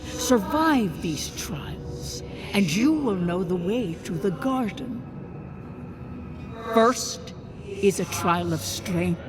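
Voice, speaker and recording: An ancient spirit guardian in a fantasy video game. The delivery is very unhurried and solemn, with formal, archaic phrasing. Prominent music plays underneath. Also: mid-range voice, animated. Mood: solemn, commanding.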